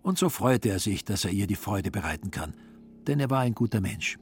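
A man reading literary radio narration in a measured storytelling manner. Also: fairly low pitch, animated. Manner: measured storytelling in reported speech.

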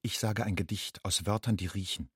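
Radio play role: tired, watchful homeless man, lowish voice, measured poetic monologue. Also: steady tone, husky, dry recording, clean studio sound. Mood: weary. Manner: measured, brooding. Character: weary, watchful man living at motorway rest stops. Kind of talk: poetic second-person monologue.

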